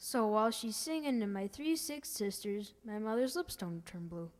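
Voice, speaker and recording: A woman's voice: mid-range voice, moderate pace, close to an everyday voice. Woman playing a persistent little urchin boy, echoey hall. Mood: plaintive sales pitch. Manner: matter-of-fact sales patter, pleading. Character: small poor urchin boy, persistent Christmas tree salesman. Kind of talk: old-time radio comedy acting. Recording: reverberant hall stage recording.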